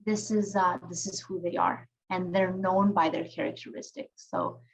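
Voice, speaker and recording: A woman's voice: lowish voice, fairly even intonation, normal speed, heavy background noise. A woman facilitating an online youth check-in, affectionate and encouraging, praising each young speaker in turn. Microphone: video call.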